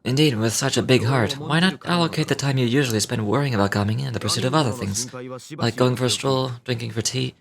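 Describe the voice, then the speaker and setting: A man playing a composed, wise and courteous young-looking gentleman in a video game, with measured, formal, philosophical delivery. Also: mid-range voice, vocal fry, crowd noise, natural voice. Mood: calm, reassuring.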